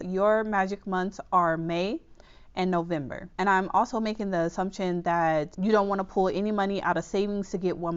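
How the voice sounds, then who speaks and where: A woman's voice: fairly low pitch, muffled recording. A woman hosting a budgeting channel, a little under the weather and slightly nasal, explaining a plan in a chatty, reassuring way and often checking in with "okay?". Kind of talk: casual explainer to camera.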